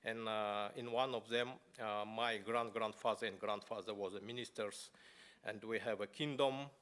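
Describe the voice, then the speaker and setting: A man from Buryatia speaking English as an at a conference, telling his personal story in a halting way with many pauses and fillers. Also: lowish voice, fairly even intonation, fairly bright tone. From Buryatia, Russia. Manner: halting, many fillers and restarts, non-native phrasing. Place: parliament conference hall.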